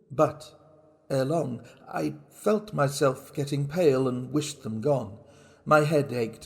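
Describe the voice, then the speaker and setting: A man playing a mad, guilt-racked murderer, telling his horror story in a theatrical, intense and deliberate manner. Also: mid-range voice, vocal fry, a little mellow. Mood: uneasy. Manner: theatrical, deliberate, intense, broken into short phrases. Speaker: reader of a classic horror story. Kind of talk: dramatic first-person storytelling.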